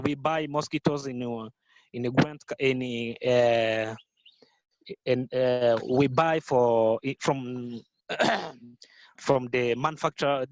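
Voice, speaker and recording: A Rwandan man whose first language is Kinyarwanda, answering interview questions in careful, halting English with many fillers and restarts. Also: mid-range voice, dry recording, highs cut off. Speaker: guest, business founder. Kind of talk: podcast interview.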